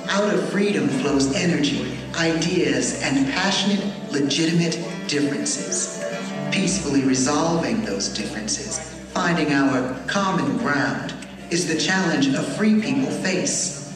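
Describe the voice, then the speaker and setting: Far off in a big echoing hall, a woman narrates a documentary over loud plucked-string music. Her delivery is slow, measured and solemn. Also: low voice, lively intonation. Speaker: film narrator. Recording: echoey hall playback, distant, loud music behind.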